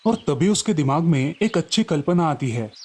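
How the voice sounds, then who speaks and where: A man's voice: a little high, lively intonation, moderate pace, a little mellow. A man telling a children's story as a scripted narration, over background music.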